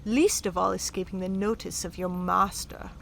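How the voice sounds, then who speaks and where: A woman's voice: mid-range voice, steady tone, moderate pace, gravelly, a little hiss or hum, lightly acted. A woman playing a haughty, savage countess in a sci-fi audio drama, languid, mocking and menacing. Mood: cool disdain. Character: haughty, savage countess, cool sardonic schemer. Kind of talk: full-cast sci-fi audio drama acting.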